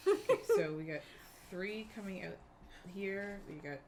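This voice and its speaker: A woman's voice: medium pitch, a little slow, very noisy. A woman playing a tabletop game, chatting casually and jokingly with friends. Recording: loud background chatter.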